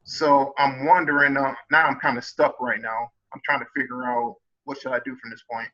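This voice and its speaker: A man calling into an online session, speaking hesitantly and filling pauses with ums. His voice is bright and a little tense. The call audio is dull and lo-fi. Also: medium pitch, level tone. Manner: hesitant, many fillers. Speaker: student caller. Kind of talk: casual call.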